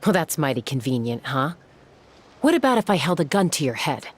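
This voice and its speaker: A woman's voice: low voice, big pitch swings, fairly quick, slightly rough, heavily acted. A woman playing a tough, protective young gunslinger in a video game, cool and commanding. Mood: cool, interrogating.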